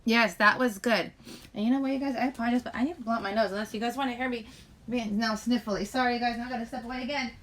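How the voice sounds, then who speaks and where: A woman hosting a casual live chat, rambling to viewers in a halting way, often breaking off mid-sentence. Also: on the high side, steady tone, fairly bright tone, a little hiss or hum. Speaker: live stream host chatting with viewers.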